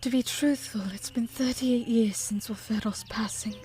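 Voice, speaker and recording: A woman's voice: fairly high pitch, fairly even intonation, normal speed, warm tone, soft background music, close to an everyday voice. A woman playing an older, devoted, grieving widow of a composer, telling her story formally and wistfully in a period audio drama.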